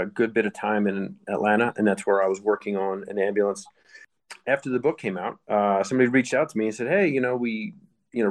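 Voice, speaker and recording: A man speaking as a guest author, telling his story conversationally in an interview. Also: on the low side. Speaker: guest author and former paramedic.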